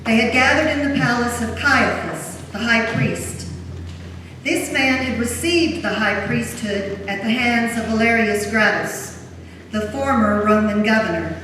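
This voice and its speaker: A solemn female narrator, read by a woman tells a scripture story formally. Her fairly high voice comes from far off in a long-reverb church hall, with noise around it. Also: unhurried, lightly acted. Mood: solemn narration. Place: large church during a service. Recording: very echoey church hall, distant mic, noisy.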